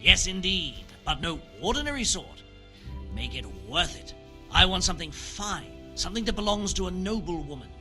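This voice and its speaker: A man's voice: sits high, big pitch swings, normal speed, bright, forceful tone, faint music behind, exaggerated voice. A man playing a sly, greedy townsman who commissions thefts in a video game, talking in a knowing, theatrical, wheedling way. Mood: scheming. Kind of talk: dramatic video game dialogue.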